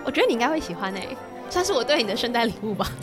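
Higher-pitched Taiwanese woman chatting. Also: sing-song, racing, music in the background. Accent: Taiwanese Mandarin. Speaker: podcast co-host. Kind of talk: casual chat.

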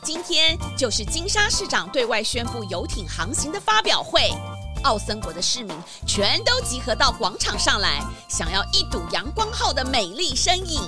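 A woman tells a children's story as narrator. Her voice is on the high side and moves expressively up and down. Music runs clearly under the scripted narration. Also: big pitch swings, normal speed.

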